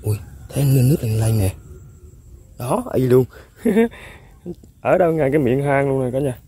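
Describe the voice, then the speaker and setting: A man, excitedly commenting to viewers while fishing on a riverbank. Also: medium pitch, moderate pace, slightly rough. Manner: excitable, exclaims often, chuckles. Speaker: fishing vlogger. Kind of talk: casual outdoor commentary to viewers. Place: outdoors by a riverbank.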